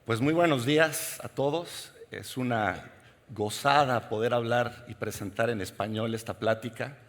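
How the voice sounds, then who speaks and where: A man's voice: mid-range voice, unhurried. A middle-aged man from Mexico with a Mexican Spanish accent, giving a personal conference keynote talk to a large audience. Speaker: keynote speaker. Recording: long hall reverb.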